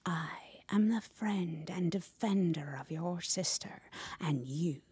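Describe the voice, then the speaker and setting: A woman voicing a sweet female storybook spider, silken, hushed and slow, with quiet menace. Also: lowish voice, husky, no room sound, heavily acted. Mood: quietly menacing. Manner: slow, gentle, deliberate.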